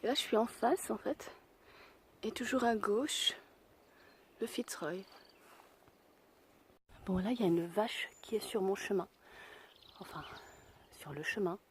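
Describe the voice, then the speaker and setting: A young woman with a hoarse voice from a cold, slightly out of breath, chatting casually to her camera while hiking a mountain trail outdoors. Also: medium pitch, unhurried, pauses often, a little hiss or hum. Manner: chatty and self-deprecating, thinking aloud. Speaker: hiker vlogging a mountain trail. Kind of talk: casual spoken travel vlog.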